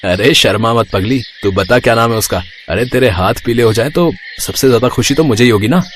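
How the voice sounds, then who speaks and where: A man playing a caring, teasing young elder brother in a dramatized family story, talking in an expressive, familiar way. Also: medium pitch, fairly quick, slight background noise, lightly acted. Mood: playful, teasing.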